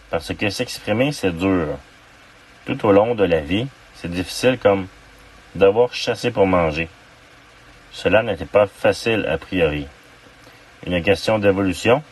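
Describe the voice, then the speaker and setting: Deep-voiced man reciting poetry. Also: slightly rough. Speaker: amateur poet. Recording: distant mic with faint background noise.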